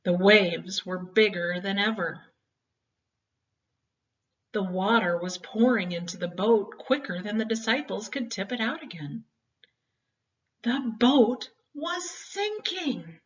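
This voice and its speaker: A woman's voice: mid-range voice, animated, slow pace, pauses often. A woman reading a children's Bible story expressively, with dramatic, emphatic phrasing meant for young listeners. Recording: dull, distant home-style recording.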